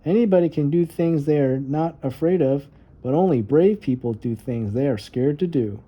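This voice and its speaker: A man's voice: fairly high pitch, normal speed, lo-fi sound, voice pushed up, lightly acted. A man voicing a firm, patient female babysitter in a children's storybook read-aloud. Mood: calm, encouraging.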